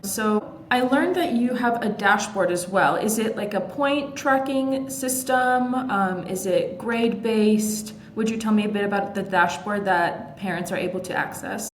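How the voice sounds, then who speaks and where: A woman's voice: measured pace, hardly any pauses. A woman whose first language is Ukrainian, hosting a podcast and asking friendly questions with many fillers. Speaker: podcast host. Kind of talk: interview questions. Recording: echoey room with faint rumble.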